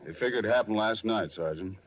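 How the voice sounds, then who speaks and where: Male police officer played by an actor, 1950s radio drama: lowish pitch, brisk, matter-of-fact reporting. Muffled old recording. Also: lightly acted. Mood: terse.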